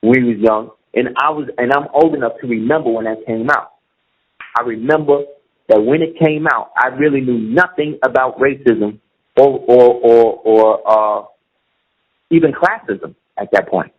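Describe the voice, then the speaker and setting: Emphatic man with a husky voice. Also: medium pitch, moderate pace. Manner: emphatic, keeps asking "you see what I'm saying". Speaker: guest. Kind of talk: casual conversation. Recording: muffled lo-fi audio.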